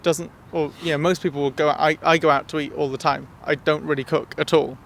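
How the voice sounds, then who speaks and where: A man with a gravelly, fairly high voice talks easygoing in a street interview. He repeats words as he gathers his thoughts, with faint traffic outdoors. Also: little pitch movement, a little fast, fairly bright tone. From England.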